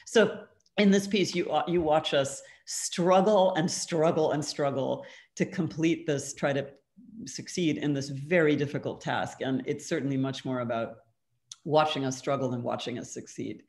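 A woman's voice: deep voice, steady tone, moderate pace, a little mellow. A woman from California with a West Coast American accent, explaining her artworks in a conversation and often laughing between phrases. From California, USA. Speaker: artist guest. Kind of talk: conversational art talk.

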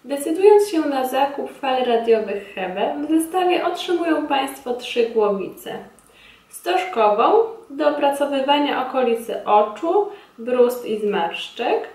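Woman doing a formal training video voiceover, highish voice, echoey room, bit far from mic. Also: very animated, a little mellow. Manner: formal, measured. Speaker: instructor presenting a beauty device. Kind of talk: scripted instructional narration. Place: small indoor beauty treatment room. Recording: roomy echo, distant mic.

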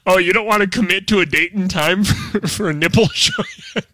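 High, bright-voiced American man bantering. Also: brisk, dry recording. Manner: animated teasing, breaking into laughter. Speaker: comedy show host. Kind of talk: casual comedic banter.

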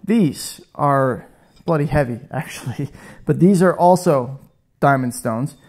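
A man's voice: medium pitch, unhurried, a little mellow. A man in his thirties hosting a casual woodworking demo in his garage workshop, chatty and self-joking, on a lapel mic. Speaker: woodworking channel host.

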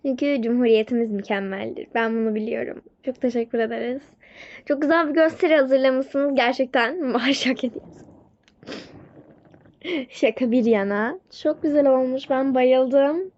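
A woman's voice: sits high, moderate pace, pitched below the performer's own voice. A woman voicing a chatty, appreciative kindergarten parent in a casual doll-game roleplay, polite and a little wry. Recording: muffled audio under loud music. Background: loud music.

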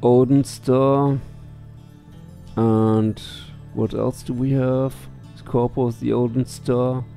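A man's voice: medium pitch, level tone, takes it slowly, gravelly, warm tone. A middle-aged man doing let's play commentary and reading game text aloud into a headset. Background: game music.

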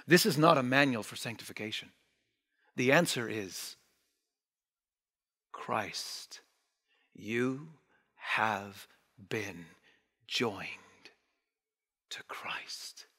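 A man in his forties preaching a sermon from a pulpit, animated and emphatic, dropping to near-whispers on key words. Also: medium pitch, very slow, long pauses. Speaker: pastor. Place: church stage behind a wooden pulpit.

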